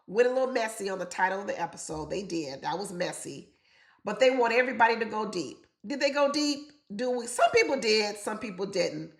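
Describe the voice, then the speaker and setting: A woman's voice: a little high, roomy sound. A woman chatting straight to her viewers in an opinionated, chatty way, sounding congested from being sick. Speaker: reality TV commentator. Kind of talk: casual commentary chat.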